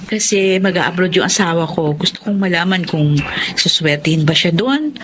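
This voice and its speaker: A woman's voice: on the low side, expressive, moderate pace, lightly acted. An actress playing a polite, friendly married woman visiting a fortune-teller in a Filipino radio drama. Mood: polite, chatty. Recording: faint background noise.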